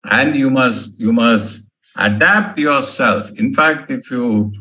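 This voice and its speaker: A man's voice: mid-range voice, takes it slowly, sharp tone. A male professor from India giving a motivational management lecture, emphatic and fond of repeating key words. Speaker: professor giving a guest talk.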